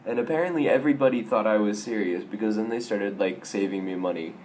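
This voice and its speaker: Hoarse young man rambling wryly. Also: on the low side, quick pace, darkish tone, slight background noise. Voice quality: raspy. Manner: self-mocking, wry, rambling with restarts. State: hoarse from a sore throat. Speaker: vlogger. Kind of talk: casual vlog storytelling.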